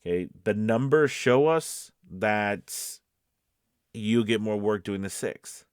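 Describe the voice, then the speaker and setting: A man's voice: lowish voice, animated, slow pace, smooth, dry recording, studio quality. A man speaking as a voice-over coach, giving casual, conversational advice and repeating his points for emphasis. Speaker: voice-over coach and casting professional. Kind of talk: casual instructional advice to camera.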